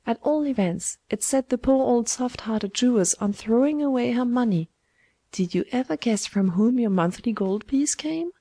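A woman's voice: on the high side, expressive, normal speed, mellow, breathy edge, no echo, pitched above the performer's own voice. A woman voicing a sly, domineering old woman schemer in a storybook, with a taunting, wheedling manner. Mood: wheedling, gloating. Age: older.

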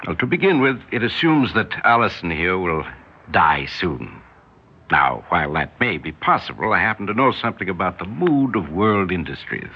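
An actor in a 1960s radio drama playing a smooth, scheming brother, speaking in a measured, insinuating way. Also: male voice, deep voice, big pitch swings, exaggerated voice. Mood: smooth, pressing. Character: smooth, scheming brother in a family business plot. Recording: muffled, hissy 1960s radio recording.

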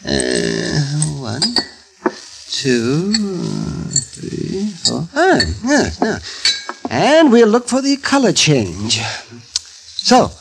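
A cackling old frontier doctor, high and sing-song, drawing out his words as he needles everyone around him. It is a vintage radio play heard through faint hiss. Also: huge pitch swings, very slow, gravelly, long pauses, full character voice. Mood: needling. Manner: chuckling, needling, folksy. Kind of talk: 1950s radio drama acting. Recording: hissy old radio broadcast.

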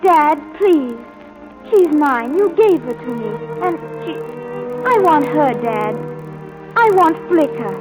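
A stubborn little boy on a ranch. The voice is extremely high and pleading, in 1940s radio acting with faint music. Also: sing-song, slow, full character voice, poor audio.

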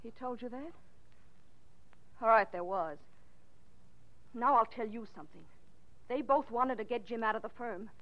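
An actress playing a defensive, cagey widow in a 1940s radio drama, with a pointed, dramatic delivery. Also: female voice, fairly high pitch, a little slow, pauses often, natural voice. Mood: bitter, accusing. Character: defensive, cagey, bitter widow of a murdered man. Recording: dull, lo-fi 1940s radio recording.

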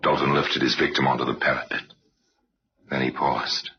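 A man narrating old-time radio crime tales as a grave, sardonic storyteller in a measured, wry, dramatic way. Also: extremely low pitch, flat delivery, gravelly. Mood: grave, suspenseful. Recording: dull, noisy old radio recording.

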